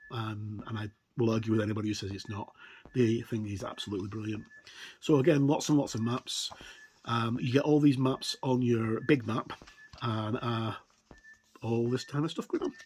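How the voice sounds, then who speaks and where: A man giving a casual, unscripted review as he leafs through a product, talking in halting, rambling short phrases. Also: mid-range voice, a little mellow. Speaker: tabletop game reviewer. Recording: clear background noise with clicks.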